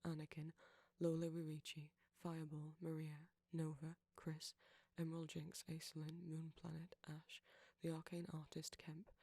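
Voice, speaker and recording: A man with a soft, breathy voice, reading a scripted creator's outro. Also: fairly high pitch, completely flat delivery, measured pace, hardly any pauses, no echo. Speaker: audio creator.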